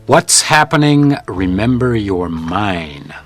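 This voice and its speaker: An actor playing a composed, world-weary hotel house detective in a noir radio drama, wry and probing. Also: male voice, lowish voice, sing-song, slow, fairly bright tone, soft background music, exaggerated voice. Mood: wry, pressing. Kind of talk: radio drama acting with first-person noir narration.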